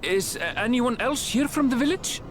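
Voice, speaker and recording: A man's voice: very high-pitched, animated, moderate pace, background noise, voice pushed up. A man voicing an earnest young man anxiously searching for family, reading emotional video game dialogue. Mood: anxious, disbelieving. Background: game music.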